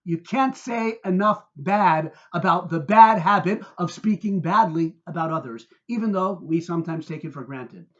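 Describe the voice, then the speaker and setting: Male rabbi, high voice, heated emphatic sermon delivery, dramatic stress on key words, dull lo-fi sound. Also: moderate pace, hardly any pauses, fairly bright tone. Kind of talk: sermon-like religious talk.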